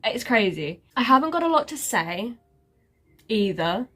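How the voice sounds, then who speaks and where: A woman's voice: a little high, sing-song, unhurried, a bit bright, husky. A woman vlogger giving a casual life update, her nose stuffy and congested, rambling and sarcastic about herself. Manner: sarcastic, self-mocking, rambling with many fillers.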